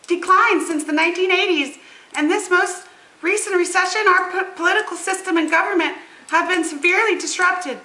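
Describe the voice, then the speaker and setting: A woman's voice: very high-pitched, sharp tone. A woman playing an earnest small-town official, reciting scripted lines in an amateur puppet show. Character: earnest female small-town official seeking economic revival. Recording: roomy, echoing room sound.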